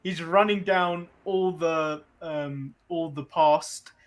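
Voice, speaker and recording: English bloke, high voice, cohosting wrestling podcast, rambly, grumbly, casual chat. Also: male voice, fairly even intonation, slow. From England.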